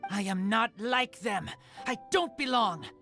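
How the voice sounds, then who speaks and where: An actor voicing an earnest teenage boy raised by wolves in a video game, with halting, broken phrasing. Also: high voice, lively intonation, gravelly, over loud music, exaggerated voice. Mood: distressed, insistent. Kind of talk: scripted video game dialogue.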